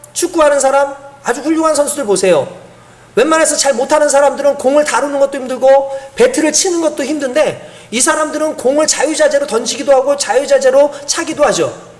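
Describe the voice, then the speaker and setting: A male pastor delivering an earnest, emphatic sermon, asking the congregation rhetorical questions and chuckling now and then. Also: very high voice.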